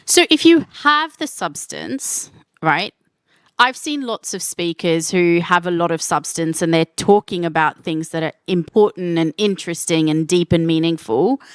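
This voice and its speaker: A woman's voice: on the low side, a little slow, clear, resonant tone, no room sound. A woman hosting a live panel at a convention, upbeat and enthusiastic as she introduces guests and asks questions. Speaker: panel host and moderator. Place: convention stage.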